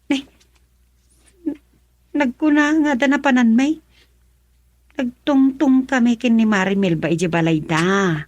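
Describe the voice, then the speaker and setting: A woman's voice: a little high, takes it slowly, long pauses, darkish tone, dry recording. A woman with an Ilocano accent playing an earnest, self-sacrificing middle-aged mother in a radio drama, hesitant and pleading. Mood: evasive.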